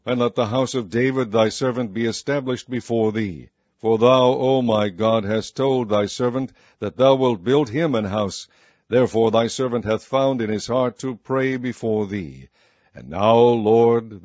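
A man reading scripture aloud in a slow, measured, solemn way. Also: medium pitch, darkish tone, dry recording, muffled recording. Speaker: Bible reader.

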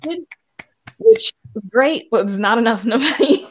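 A woman's voice: fairly high pitch, very animated, normal speed. A woman, a podcast creator, telling her story casually as an interview guest.

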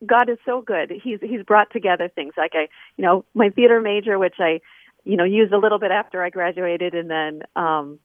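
A woman's voice: mid-range voice, normal speed, no echo. Woman on a tinny phone line. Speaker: interview guest, scholar. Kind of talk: casual conversational interview. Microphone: telephone call.

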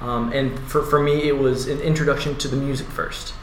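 A man, a native English speaker, speaking as a guest in a relaxed, easygoing way. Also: medium pitch, a little fast, a little hiss or hum. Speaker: guest, language learner. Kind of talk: casual panel discussion. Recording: echoey room.

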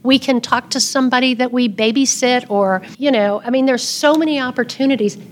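A woman's voice: high-pitched, brisk, a little hiss or hum. Earnest older woman, emphatic. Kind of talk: casual panel talk.

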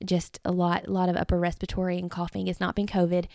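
A woman, hosting a devotional podcast in an earnest, rambling way, her voice a little rough from illness. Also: fairly low pitch, monotone, fast, darkish tone, no echo, clean studio sound. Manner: earnest, rambling with many fillers and restarts. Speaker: podcast host. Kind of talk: casual devotional talk. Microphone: close podcast mic.

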